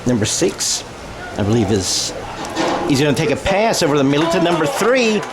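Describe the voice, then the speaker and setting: A man's voice: on the high side, big pitch swings, moderate pace. An American man doing live radio-style football play-by-play in a conversational way, thinking aloud and correcting himself as he goes. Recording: noisy outdoor game sound.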